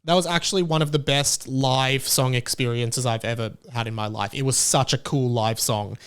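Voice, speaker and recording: A man hosting his own podcast, chatting casually on his own in an animated, rambling way, often restarting sentences and sounding openly incredulous. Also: medium pitch, moderate pace, smooth. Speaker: podcast host. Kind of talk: casual solo podcast chat.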